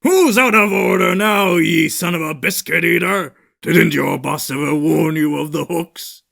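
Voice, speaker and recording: A man voicing a mischievous animatronic pirate fox for a video game, taunting in creaky pirate talk. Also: fairly high pitch, a little slow, fairly bright tone, exaggerated voice. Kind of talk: video game character voice lines.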